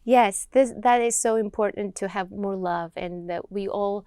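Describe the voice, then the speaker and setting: A gentle, earnest woman, speaking English as an in a conversational interview. Her voice sits in a middle register, and the sound is close and dry with no room around it. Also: mid-range voice, clean tone, no room sound. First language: Georgian. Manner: gentle, earnest, soft-spoken with slow recited lines. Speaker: poet and author, interview guest. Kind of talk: conversational interview with poetry recitation.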